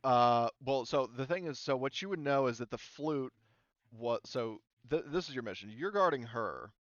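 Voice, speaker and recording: A man narrating a tabletop roleplaying game as game master, halting and often correcting himself. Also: medium pitch, lively intonation, no echo, dull audio.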